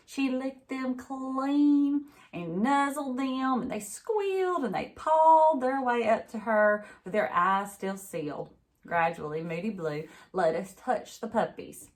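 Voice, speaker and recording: A woman giving an expressive read-aloud narration of a children's novel. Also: on the low side. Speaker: teacher reading a novel aloud.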